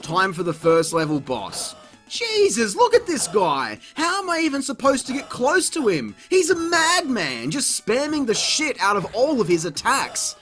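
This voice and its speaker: A man's voice: very high-pitched, wildly swooping pitch, measured pace, a bit bright, faint music behind. A man giving comedic, partly scripted video review commentary in an exclamatory, sarcastic, crude way. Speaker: video game reviewer, host.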